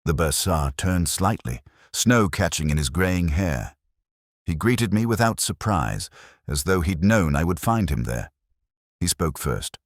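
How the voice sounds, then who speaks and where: A man playing a melancholy detective who recalls a case in measured, literary first-person mystery narration. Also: deep voice, very animated, no echo, studio quality, exaggerated voice. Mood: brooding, reflective. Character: melancholy older male English police detective recalling a case. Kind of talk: scripted first-person mystery narration, radio drama style.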